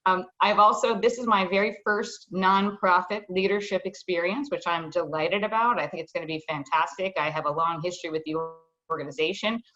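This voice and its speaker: A middle-aged woman giving a professional self-introduction as a panelist, in articulate, measured phrases. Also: fairly low pitch, steady tone, sharp tone. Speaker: panelist, nonprofit chief executive. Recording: slightly dull online call audio.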